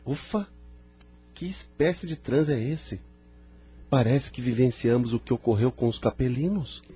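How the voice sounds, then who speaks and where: A man's voice: mid-range voice, a little slow, dark tone, breathy edge, faint music behind. A man playing a bewildered listener coming out of a trance in an audio drama. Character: bewildered male listener emerging from a shared trance.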